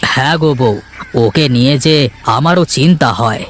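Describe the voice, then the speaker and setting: A man playing a mild, worried elder brother who farms, speaking gently and conversationally in a village cartoon. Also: mid-range voice, moderate pace, a little hiss or hum, natural voice. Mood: concerned. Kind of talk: Bangla cartoon voice acting.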